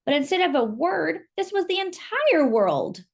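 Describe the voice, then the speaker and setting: A woman reading a children's picture book aloud, expressive and animated. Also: very high voice, normal speed, gravelly. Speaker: storyteller.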